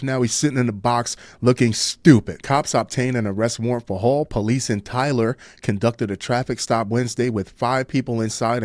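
A man's voice: medium pitch, darkish tone, dry recording, clean studio sound. A man with an American accent reading crime news and commenting on it in a blunt, sardonic, slangy way.

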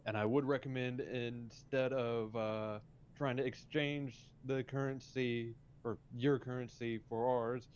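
A man playing a polite, hesitant police officer in improvised game roleplay, speaking in a halting, matter-of-fact way. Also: steady tone, darkish tone, natural voice. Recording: dull lo-fi mic with faint rumble.

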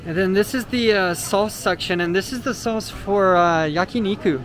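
A man's voice: high voice, normal speed. A man hosting a vlog, casually explaining products as he walks through a large indoor supermarket, with many fillers and a camera mic. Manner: relaxed, explanatory, frequent uh fillers. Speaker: vlog host. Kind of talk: casual walking tour commentary. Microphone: handheld camera mic while walking. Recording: noisy store background. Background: store ambience noise.

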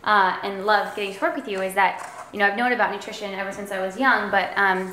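A woman's voice: medium pitch, steady tone, noisy background. Chatty young woman in an echoey kitchen. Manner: chatty, agreeable, quick back-channel replies. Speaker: actress co-host. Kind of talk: casual cooking chat. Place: home kitchen, indoors. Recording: roomy kitchen with clattering dishes.

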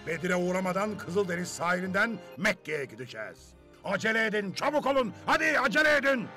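A man voicing a commanding caravan leader in an animated cartoon, barking out orders. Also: high-pitched, fairly quick, faint music behind, exaggerated voice. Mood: urgent, commanding. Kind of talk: animated cartoon dub acting.

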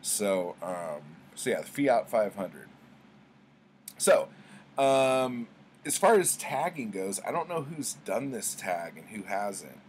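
A middle-aged man with a fairly low voice chats like a home vlogger. His delivery is conversational and rambling, full of slang and swearing. The webcam recording carries a faint layer of noise. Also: very animated, slow pace. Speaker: book vlogger. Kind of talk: casual chat, rambling storytelling. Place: small indoor room at home with bookshelves.

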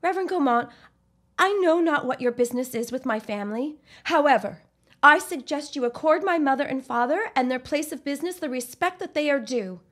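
Woman playing a high-voiced, defiant proper spinster. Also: expressive, brisk, fairly bright tone. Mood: indignant, defiant. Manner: formal, indignant, emphatic. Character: proud, defiant early-1900s spinster, protective elder sister. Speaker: actress in an audio drama. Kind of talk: period audio drama acting.

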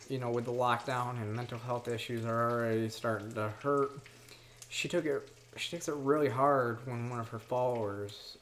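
A woman's voice: a bass voice, slight background noise. A woman in her thirties hosting a cooking vlog, talking to camera in an earnest, rambling way from her home kitchen. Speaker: cooking show host. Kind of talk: casual to-camera vlog talk. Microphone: camera on the counter at mid distance.

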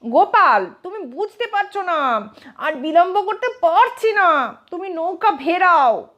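A woman's voice: very high voice, sing-song, unhurried, fairly bright tone, lo-fi sound, pitched above the performer's own voice, heavily acted. A woman voicing a proud, exasperated king in a comic audio storybook, speaking in an emphatic, commanding way. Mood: urgent, strained.

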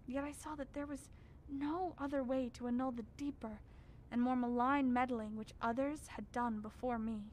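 A grave scholar, played by a woman, recounts horrors in a radio drama. The voice sits fairly high, formal and measured with an ominous weight, over faint background noise. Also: fairly even intonation, a little mellow, lightly acted. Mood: ominous dread. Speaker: actor narrating. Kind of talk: radio drama narration as a written record.

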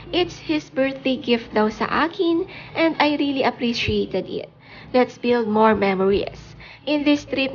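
Woman, showbiz news voice-over, fairly high voice, calm caption reading, lo-fi muffled audio. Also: slight background noise. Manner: reads captions aloud in a calm mix of Tagalog and English. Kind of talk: scripted voice-over narration.

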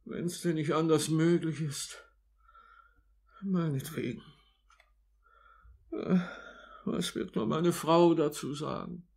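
Timid, placating undertaker: raspy, creaky, highish male voice, mild and hesitant, old radio drama. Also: steady tone, takes it slowly, long pauses, a little mellow, heavily acted. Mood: haggling, reluctant. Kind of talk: 1950s German radio play acting.

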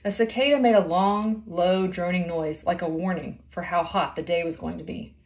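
A woman's voice: lowish voice, fairly even intonation, normal speed, darkish tone. A woman in her thirties reading a children's novel aloud as a storyteller, recorded indoors at home. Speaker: storybook reader. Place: small indoor room at home.

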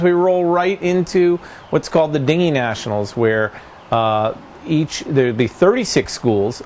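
A man's voice: medium pitch, a little hiss or hum. A middle-aged man, a college sailing coach, speaking eagerly outdoors by a marina, with many fillers. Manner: enthusiastic, filler-heavy speech. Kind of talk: outdoor interview.

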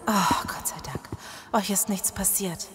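A woman playing a worried, cautious zombie-apocalypse survivor in a German audio drama, breathy and anxious. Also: medium pitch, steady tone, unhurried, whispered, natural voice. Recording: dramatic music under the voice.

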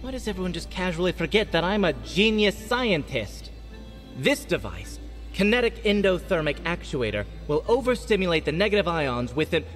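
An actor playing a cocky, excitable scientist in a video game, self-assured and technical. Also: male voice, high voice, normal speed, faint music behind. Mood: smug. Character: cocky, excitable male scientist with wounded pride. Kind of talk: game cut-scene acting.

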